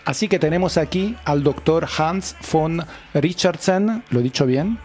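A man's voice: fairly high pitch, measured pace, a little mellow, soft background music. A man hosting a radio show, introducing guests in a chatty, joking way. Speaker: radio host. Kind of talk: on-air radio interview.

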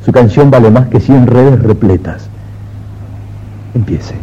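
A man's voice: medium pitch, slow, warm tone. An actor playing an imperious, romantic wealthy man in 1950s radio drama style, intense and commanding. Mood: insistent, yearning. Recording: muffled noisy 1950s radio recording.

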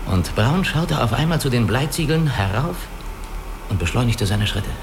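An actor in a radio drama playing a guarded, analytical spaceship crewman who speaks deliberately and reasons step by step. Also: mid-range voice, animated, background noise, close to an everyday voice. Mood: confiding, measured. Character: guarded, analytical male spaceship crewman hiding his identity. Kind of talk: German radio play dialogue.

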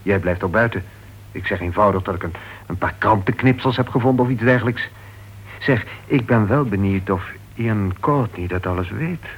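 An actor playing an earnest, lovelorn club board member in a Dutch radio play, asking insistent, probing questions. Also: male voice, on the low side, normal speed, a little hiss or hum, close to an everyday voice. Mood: probing, concerned. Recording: dull, muffled old radio recording.

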